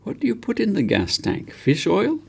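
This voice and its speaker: A man reading as a twinkly old council elder from a storybook, pitched up from his usual low, creaky voice. He banters playfully and likes to tease. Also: wildly swooping pitch, normal speed, a little mellow, full character voice. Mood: teasing.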